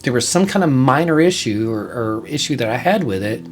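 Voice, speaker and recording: A man hosting a music show, talking casually and unscripted in a halting, conversational way, repeating words and leaning on key points. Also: mid-range voice, lively intonation, a little hiss or hum. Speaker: music show host and reviewer. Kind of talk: casual solo podcast update, unscripted.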